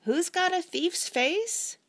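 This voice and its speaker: A woman voicing mischievous young children who deliberately mishear their parents. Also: extremely high pitch, lively intonation, a bit bright, voice pushed up, heavily acted. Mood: playful.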